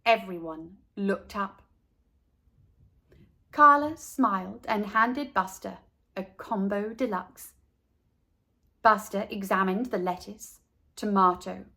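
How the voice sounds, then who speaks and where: A woman narrating a children's storybook expressively, with deliberate, dramatic pauses. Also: mid-range voice, pushed and bright. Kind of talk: expressive children's read-aloud.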